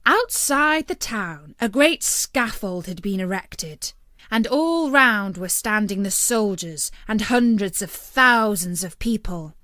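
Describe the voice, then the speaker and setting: A woman narrating a fairytale as a storyteller, with an expressive and deliberate storybook delivery. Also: mid-range voice, no echo, studio quality.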